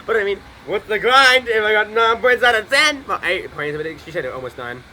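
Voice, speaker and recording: A man's voice: high-pitched, animated, brisk, pushed and bright, a little hiss or hum. A young man giving casual gameplay commentary, rambling, joking and correcting himself as he goes. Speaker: gaming streamer.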